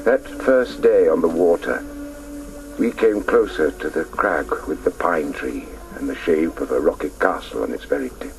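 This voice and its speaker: Reflective older-self narrator in a radio drama, very low, lyrical n intense, noisy old recording. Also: male voice, normal speed. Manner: lyrical, intense, hushed at times. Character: reflective grown man recalling his boyhood wonder.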